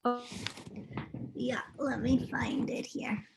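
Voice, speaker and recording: A woman's voice: a little high, steady tone, slow pace, gravelly, very noisy. A woman doing an informal, unrehearsed read-aloud. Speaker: reader.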